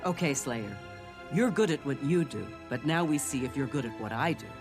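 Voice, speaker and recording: An actor playing a sly, self-assured secret agent in a fantasy video game, talking in a wry, confident manner. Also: male voice, mid-range voice, lively intonation, moderate pace, lightly acted. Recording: game music behind the voice.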